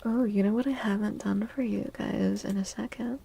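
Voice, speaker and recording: A woman streaming soft-spoken ASMR, chatting gently and playfully with viewers, often close to a whisper and repeating little phrases. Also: mid-range voice, steady tone, normal speed. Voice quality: soft, breathy, husky, often near whisper. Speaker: ASMR streamer, tarot reader.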